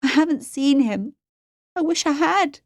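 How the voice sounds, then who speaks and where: A woman's voice: sits high, expressive, a little slow, no echo, clean studio sound, voice pushed up. A woman voicing a frightened but defiant young girl held captive in an audiobook story. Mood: tearful protest. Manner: sullen, desperate, pleading. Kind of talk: audiobook character voice.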